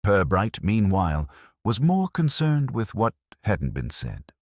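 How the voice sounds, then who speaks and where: A man's voice: on the low side, huge pitch swings, measured pace, darkish tone, no echo, clean studio sound. A man reading a mystery story as scripted narration, in the manner of an audiobook narrator.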